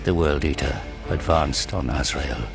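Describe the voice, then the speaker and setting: A man's voice: extremely low pitch, unhurried, vocal fry, faint music behind. A man giving dramatic scripted narration for an audio drama.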